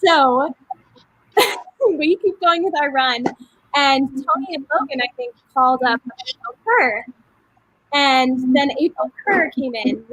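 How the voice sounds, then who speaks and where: A young woman in a cast reunion telling a story in a casual, animated way, with plenty of "like" and "um". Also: high-pitched, takes it slowly, no room sound, rough recording. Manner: animated, with many fillers. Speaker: cast member.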